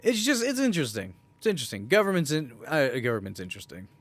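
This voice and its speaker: A man's voice: on the high side, huge pitch swings, fast, a bit bright, no echo. A man co-hosting a podcast in a casual, bantering, joking way. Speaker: podcast co-host. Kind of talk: casual chat.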